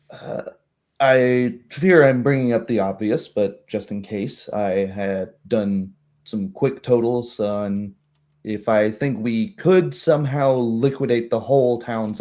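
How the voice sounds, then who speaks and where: A polite, apologetic household servant, acted out by a man running a game. The voice is mellow and lowish, the delivery halting and timid, as in a tabletop fantasy. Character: well-dressed male servant, timid, apologetic, deferential.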